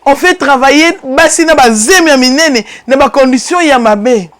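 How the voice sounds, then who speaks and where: A woman from DR Congo with a Congolese accent, hosting a political commentary show and speaking emphatically, climbing higher and louder on key points before dropping low. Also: normal speed. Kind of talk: impassioned political commentary monologue.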